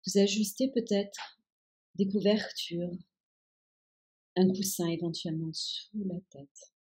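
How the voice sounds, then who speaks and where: Female meditation guide, low-pitched and very unhurried, calm and soothing delivery of relaxation instructions. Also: slightly rough. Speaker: yoga nidra guide.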